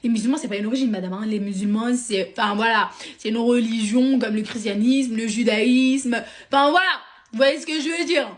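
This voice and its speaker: A young woman from France telling a sarcastic, animated story straight to her viewers. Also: a little high, a little fast. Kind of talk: casual storytime monologue.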